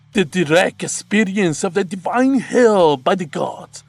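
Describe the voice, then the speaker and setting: A man voicing a masked cult leader, a fanatical man who shouts ecstatic proclamations in a deep, gravelly voice. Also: dry recording, exaggerated voice.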